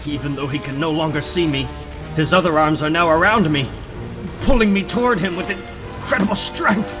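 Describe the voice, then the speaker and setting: Guy doing a young comic superhero, earnest n urgent, talking himself thru it, loud music under. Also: on the high side, normal speed, close to an everyday voice. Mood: straining. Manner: dramatic, thinking aloud, urgent. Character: earnest, determined young male superhero narrating his own fight. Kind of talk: comic book dramatic reading.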